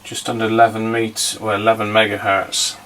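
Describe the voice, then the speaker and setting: A man's voice: fairly low pitch, little pitch movement, a little fast, a bit bright, slight background noise. A man giving a casual hands-on demonstration, thinking aloud as he goes. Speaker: vintage radio hobbyist presenter.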